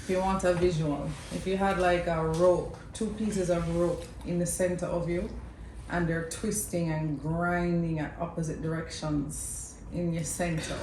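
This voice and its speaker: A young Jamaican woman casually telling a family story to camera, chatty and lively, laughing often between lines. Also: on the low side. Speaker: mother telling her son's birth story. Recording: echoey room with faint background noise.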